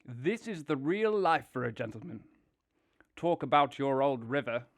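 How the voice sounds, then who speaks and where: A man voicing a boastful, cheerful toad gentleman in a storybook reading, with a high, showy voice. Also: big pitch swings, measured pace, dry recording. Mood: pleased. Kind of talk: audiobook character voice.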